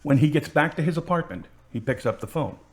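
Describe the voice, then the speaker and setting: A man's voice: fairly high pitch, lively intonation, brisk. A man reading scripted narration as the narrator of an audio drama.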